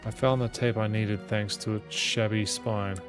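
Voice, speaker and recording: A man reading a visual novel aloud as a gaming host, in measured storytelling with occasional stumbles. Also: fairly low pitch, steady tone. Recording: game music behind the voice.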